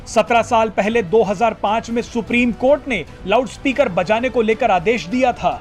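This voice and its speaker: Very high-pitched male news narrator.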